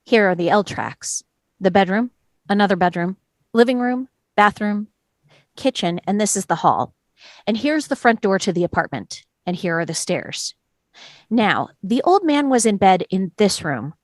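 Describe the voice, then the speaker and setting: A woman plays a calm, persistent juror in a courtroom drama. Her mid-pitched voice is measured and explanatory, recorded close and dry. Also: no echo, natural voice. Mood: methodical. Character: calm, reasoning, persistent juror testing evidence. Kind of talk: play table read.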